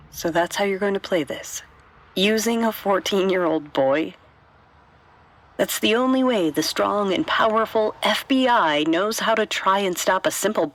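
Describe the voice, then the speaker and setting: A woman's voice: mid-range voice, fairly bright tone. An actress playing a defiant bank robber mother, sarcastic and taunting, in an audio drama. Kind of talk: audio drama phone monologue.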